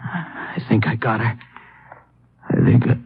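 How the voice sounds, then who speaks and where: An actor playing a loyal, watchful agent who assists the hero in an old radio detective drama, with a husky voice. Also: male voice, fairly low pitch, a little slow, a little hiss or hum. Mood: dying, weak. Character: loyal, watchful male agent, hero's sidekick. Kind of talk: 1950s radio detective acting. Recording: muffled old radio recording.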